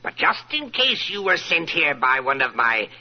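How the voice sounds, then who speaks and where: A man's voice: on the high side, very animated, normal speed, a little hiss or hum. An actor playing a wealthy, paranoid, self-satisfied old businessman in 1940s radio style, grandly wordy and theatrical. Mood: self-assured, wary. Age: older. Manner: theatrical, grandly wordy, repeats himself for emphasis. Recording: muffled vintage radio recording.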